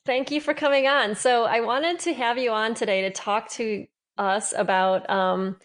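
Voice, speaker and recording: A woman's voice: fairly high pitch, steady tone, moderate pace. A woman hosting a podcast, reading sponsor copy and interviewing a guest in a friendly, upbeat way. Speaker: podcast host.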